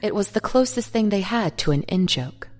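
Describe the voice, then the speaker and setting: A woman's voice: mid-range voice, lively intonation, normal speed, a little mellow. A woman narrator reading a scripted, dramatic story.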